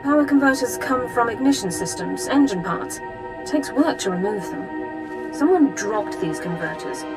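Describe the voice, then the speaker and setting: A woman's voice: a little high, animated, normal speed. Strict army sergeant lady, very by the book, game dialogue with music behind. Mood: brisk, analytical. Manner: formal, regimented, clipped military phrasing. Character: crisp, by-the-book female military sergeant, formal and dutiful. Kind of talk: video game character acting.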